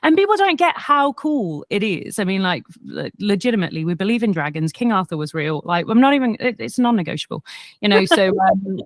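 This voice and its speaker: A Welsh woman whose accent has softened after years in Australia, telling history as a podcast guest in an animated, self-deprecating way. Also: medium pitch, a little fast, no echo. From Wales. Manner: animated, self-deprecating, frequent restarts and laughs. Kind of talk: casual conversational storytelling.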